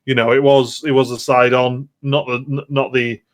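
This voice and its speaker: Mid-pitched man in casual discussion. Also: little pitch movement, moderate pace. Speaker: podcast co-host. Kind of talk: casual video game discussion.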